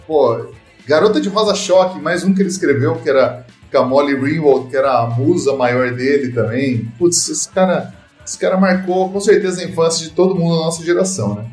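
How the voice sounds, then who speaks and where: A man's voice: on the high side, animated, a little fast, darkish tone, roomy sound, soft background music. A man with a Brazilian Portuguese accent hosting a casual film podcast, enthusiastic and full of "cara" and "né". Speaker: podcast host.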